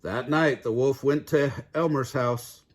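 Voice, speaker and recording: A man narrating a children's fairy tale aloud in an expressive storytelling style. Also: medium pitch, measured pace, slight background noise. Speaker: storybook reader.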